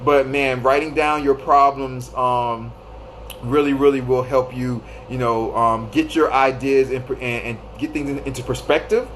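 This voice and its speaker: Brief: male live-stream host, thirties, mid-pitched and easygoing, repeats himself, faint hiss behind. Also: steady tone, unhurried, fairly bright tone, poor audio. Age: 30s. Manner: loose and repetitive, greeting viewers by name. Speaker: livestream host. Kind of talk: casual live-stream advice talk.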